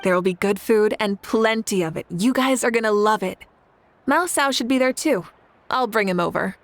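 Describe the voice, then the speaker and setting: Game cast: woman voicing a confident, reassuring leader with an even, mid-range voice. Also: mid-range voice, normal speed, a little hiss or hum, close to an everyday voice. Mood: warm. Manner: calm, reassuring. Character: confident, caring female leader.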